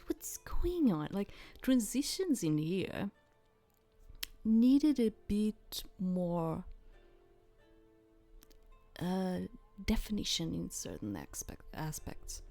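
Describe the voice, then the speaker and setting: A woman doing a casual game playthrough commentary, halting and repetitive, often checking that the listener follows. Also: fairly low pitch, sing-song, creaky, mellow, faint music behind. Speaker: gamer presenting a game playthrough. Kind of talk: reading game text aloud with casual commentary.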